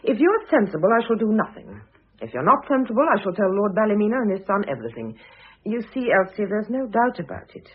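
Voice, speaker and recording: A narrow, phone-like old radio recording holds a husky female voice with very lively intonation. It is a shrewd first wife facing down her rival. She is articulate, coolly insistent and commanding, in classic radio drama style. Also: medium pitch, quick pace. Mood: stern, insistent.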